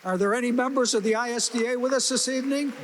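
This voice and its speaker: Male emcee, high register, scripted announcements, reverberant hall. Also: steady tone, fast, background noise. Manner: reads thank-yous off a script. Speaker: event emcee. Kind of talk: formal announcements. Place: banquet hall at a gala.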